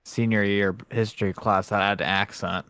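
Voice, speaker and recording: A man's voice: on the low side, fairly even intonation, fairly quick, fairly bright tone, dry recording, studio quality. A man co-hosting a podcast, laid-back in casual chat with brief replies. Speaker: podcast co-host.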